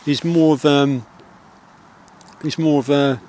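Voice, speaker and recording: A middle-aged man with a Wiltshire country accent, vlogging outdoors in the countryside and reflecting in a soft, rambling way full of pauses. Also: fairly high pitch, steady tone, slight background noise. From England. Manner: soft-spoken, rambling, with many pauses and fillers.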